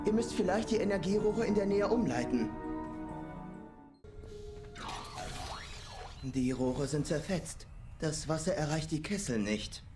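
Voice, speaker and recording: Fantasy game ally: hands-on, eager male engineer, very varied intonation, music underneath. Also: on the high side. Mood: focused, instructive. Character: keen, practical male engineer and adventurer ally. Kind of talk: fantasy video game voice acting.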